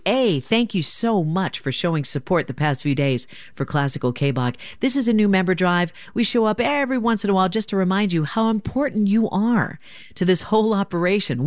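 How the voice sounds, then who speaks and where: A woman's voice: lowish voice, no echo. A woman hosting classical public radio, making an upbeat pledge drive appeal on a studio microphone. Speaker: radio host.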